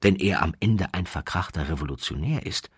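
A man with a very deep voice narrates a literary tale. His tone is husky and rough-edged, recorded dry with no room around it. He delivers the scripted prose in a measured, slightly mannered way, with wry emphasis and deliberate pauses. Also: expressive, no echo. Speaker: audiobook narrator.

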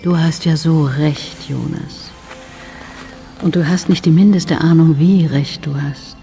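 A woman's voice: low-pitched, a little slow, mellow, faint music behind. An actress playing a cool, wry woman on a desert mission in a sci-fi radio drama. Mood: knowing, smooth.

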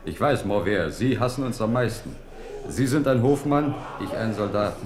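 An actor playing a proud, blunt, fatalistic soldier in a radio drama, speaking frankly and dramatically. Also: male voice, on the low side, expressive, moderate pace, natural voice. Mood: grimly defiant. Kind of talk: German radio drama acting. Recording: echoey room with crowd murmur.

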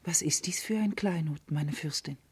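A woman playing a sharp-tongued, scorned servant wife in a German classical verse radio drama, with emphatic theatrical delivery. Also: sits low, normal speed, breathy edge. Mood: hushed, dismayed. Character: sharp-tongued, scorned servant wife, scolding yet devoted to her mistress.

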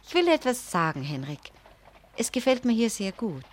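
Frank young wife, swooping intonation. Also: female voice, fairly high pitch, brisk, a little hiss or hum. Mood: tender, confiding. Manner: earnest, confiding, expressive. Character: Charlotte, frank young wife, affectionate and earnest. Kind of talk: German radio play acting.

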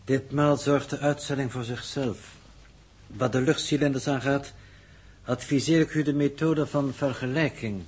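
Male actor as polite technical adviser, formal, measured, husky mid voice, 1960s radio drama. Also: mid-range voice, fairly even intonation, darkish tone, natural voice. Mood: composed. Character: formal, measured, helpful man offering technical advice.

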